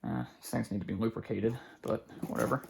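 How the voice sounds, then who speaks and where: A man giving a casual, unscripted unboxing narration in a halting, informal way, thinking aloud as he goes. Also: fairly low pitch, fairly even intonation, gravelly, a little mellow. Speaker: hobbyist collector presenting an unboxing. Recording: faint background noise, clicks of handling.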